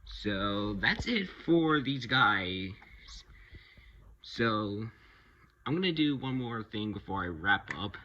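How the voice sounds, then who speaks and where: A man giving an unscripted, casual video review of his hobby collection, rambling a little and pausing mid-sentence. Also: on the low side, animated, bright, forceful tone, highs cut off, slight background noise. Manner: halting, rambling delivery with pauses mid-sentence.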